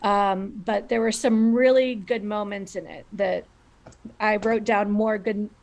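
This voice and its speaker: A woman director giving notes on a video call, halting with many fillers. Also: mid-range voice, steady tone, gravelly, darkish tone. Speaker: director and writer.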